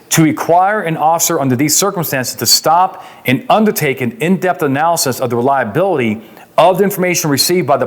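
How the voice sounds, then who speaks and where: A man with an American accent giving an explanatory legal lecture in an emphatic, conversational way, often posing questions and answering them as an instructor. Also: medium pitch.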